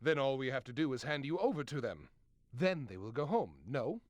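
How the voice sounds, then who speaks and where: A haughty male courtier acted by a man from a fantasy game. His mid-pitched voice is dry and close, with a stern, dismissive formality. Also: lively intonation, fairly quick, darkish tone, no room sound, close to an everyday voice. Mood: scornful. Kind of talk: scripted video game voice acting.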